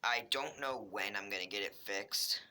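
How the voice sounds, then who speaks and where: A man's voice: on the low side, normal speed, pushed and bright, clear, resonant tone. A man talking casually and explaining things in an informal vlog, recorded on a cheap phone. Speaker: video uploader. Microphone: handheld Android phone. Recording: cheap phone mic, faint noise.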